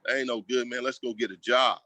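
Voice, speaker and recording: A man's voice: mid-range voice, steady tone, a little fast, pushed and bright, no echo. An American man speaking casually in an interview as a school equity advocate, with an emphatic, colloquial manner that leans on repetition. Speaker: school district equity staff member and community advocate.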